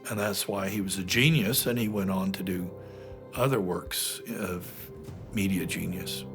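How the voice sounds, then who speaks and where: A man giving unscripted interview commentary for a documentary, halting as he thinks aloud. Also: low-pitched. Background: prominent music.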